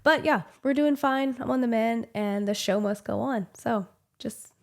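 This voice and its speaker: A woman's voice: fairly high pitch, a little slow, gravelly. Chatty woman with a head cold. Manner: chatty and gushing, many "like" fillers. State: small head cold, voice a little off. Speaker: book channel host. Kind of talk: casual book review chat.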